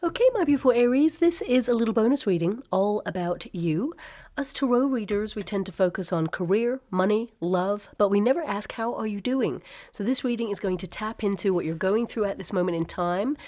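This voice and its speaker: A woman giving a gentle tarot reading to camera, her voice a little croaky from a cold, speaking in a soothing way with some ums and uhs. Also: mid-range voice, brisk. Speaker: tarot reader.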